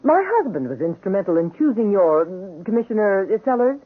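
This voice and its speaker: A cold, haughty society villainess in an old radio mystery. She speaks in clipped, condescending phrases with a husky voice and sweeping intonation, on a tinny recording. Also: medium pitch, sing-song, a little fast. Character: cruel, haughty, immensely rich woman. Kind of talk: 1970s radio drama acting.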